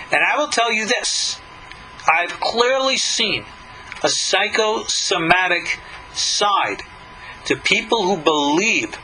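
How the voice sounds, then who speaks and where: A man's voice: high-pitched, bright, forceful tone, a little hiss or hum, low-quality recording. A middle-aged or older man, a rabbi giving a religious lesson, reading a text and commenting on it in an emphatic, skeptical, questioning way.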